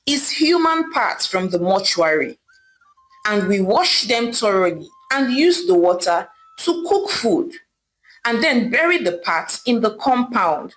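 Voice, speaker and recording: A woman storyteller voicing a weary, resigned widowed mother who explains herself gravely, as if confessing. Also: mid-range voice, fairly bright tone, low-quality recording. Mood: matter-of-fact.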